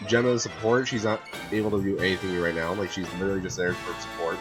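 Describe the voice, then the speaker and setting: A man with a hoarse voice from a cold, casually commenting on a video game and rambling with lots of fillers. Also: low voice, fairly even intonation, fast. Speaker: gameplay streamer. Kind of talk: casual let's-play commentary. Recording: gameplay with loud game music.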